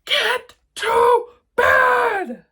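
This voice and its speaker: A woman voicing a gruff, stubborn male monster who grumbles and growls in a storybook read-aloud. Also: very high-pitched, extremely slow, sharp tone, shouting, pitched above the performer's own voice, heavily acted. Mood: thundering, angry. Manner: growling, grunting, thundering.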